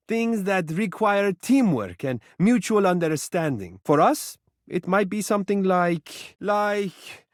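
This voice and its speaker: A man's voice: high voice, no echo, clean studio sound. A man voicing a vain, adoring mirror in a video game, speaking in flowery, formal, dramatic phrases. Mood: friendly, playful. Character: vain, romantic, adoring living mirror, theatrical and tender. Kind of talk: video game character voice acting.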